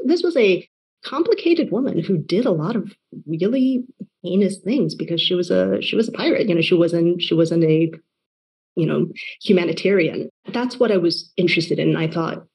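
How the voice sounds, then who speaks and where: A chatty young woman, a novelist from Taiwan, answers interview questions. Her voice is soft and breathy, and she keeps circling back with "like" and "you know" and restarting her sentences. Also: lowish voice, rough recording. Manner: chatty, many fillers and restarts. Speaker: novelist guest.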